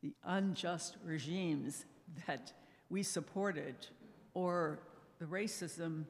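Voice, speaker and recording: Older lady telling church folks her memories, quiet measured voice, lots of church echo. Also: on the low side, a little hiss or hum. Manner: soft-spoken, measured, reminiscing. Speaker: lay speaker sharing a personal testimony. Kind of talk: reflective personal talk to a congregation. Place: church sanctuary. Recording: echoey church hall reverb.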